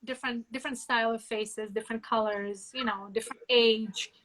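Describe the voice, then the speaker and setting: A woman's voice: on the high side, fairly even intonation, a little fast, fairly bright tone, slight background noise, poor audio. A woman originally from Israel, a makeup artist chatting casually over a video call and handing out practical tips. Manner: upbeat, gives practical tips.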